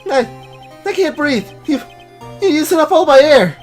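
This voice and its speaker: Woman playing a terrified child, loud and high. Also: expressive, normal speed, faint music behind. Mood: desperate, enraged. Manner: desperate, loud cries.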